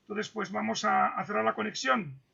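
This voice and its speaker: A man moderates an online talk over a dull, lo-fi video call. His voice sits high and heavily gravelly, with a bright, tense edge. He halts and repeats words as he reads out questions. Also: flat delivery. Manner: halting, stumbles and repeats words. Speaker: moderator reading audience questions.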